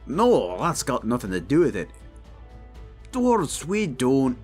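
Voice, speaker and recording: A man playing a gruff, hearty dwarf king and armorer in a fantasy audio drama, blunt, proud and commanding. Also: mid-range voice, huge pitch swings, slow, a little mellow, faint music behind, full character voice. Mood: proud, matter-of-fact. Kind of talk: fantasy audio roleplay acting.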